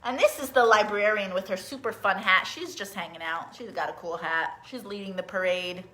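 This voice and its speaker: A woman hosting a live online story time, talking directly to young children in a sing-song, animated manner as a librarian who reads and teaches songs. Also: mid-range voice, normal speed, slight background noise. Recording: roomy, echoing sound.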